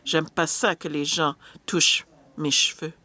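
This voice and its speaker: Slow, low-voiced woman interpreting hesitantly. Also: steady tone, pushed and bright. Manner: hesitant, frequent filler sounds and restarts. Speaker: simultaneous interpreter at a panel. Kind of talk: live interpretation of a panel discussion.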